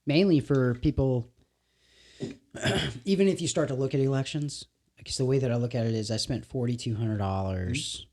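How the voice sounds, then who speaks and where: A man's voice: medium pitch, moderate pace, warm tone. Male podcast host, easygoing, informal, a bit rambling. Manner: laid-back, says dude, restarts a lot. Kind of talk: casual interview chat.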